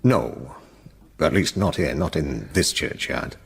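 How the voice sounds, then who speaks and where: An actor playing a reserved, deferential land agent in a radio drama, formal and clipped, with a husky, gravelly voice. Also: male voice, rumbling low, animated, slow pace, heavily acted. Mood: guarded. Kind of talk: British radio drama acting.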